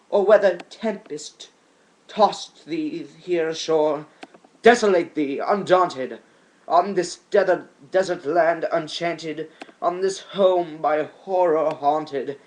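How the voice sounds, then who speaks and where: A man's voice: high-pitched, darkish tone, pitched above the performer's own voice, poor audio. A man playing a grieving, haunted man in a dramatic poem, crying out theatrically in anguish. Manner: theatrical, impassioned outcries.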